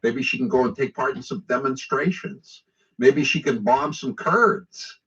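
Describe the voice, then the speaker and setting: A man's voice: mid-range voice, unhurried, pushed and bright, recorded from a distance. An American man delivering an opinionated, sarcastic radio monologue in a mocking, conversational way. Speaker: political talk radio host. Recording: dull lo-fi radio relay.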